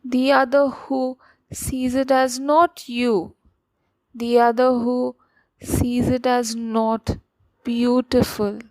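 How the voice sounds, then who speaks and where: A woman reads verse aloud with deliberate pauses between short phrases. She goes extremely slowly in a slightly high voice. The sound is dry, with no room echo. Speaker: poet reading aloud. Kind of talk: poetry reading.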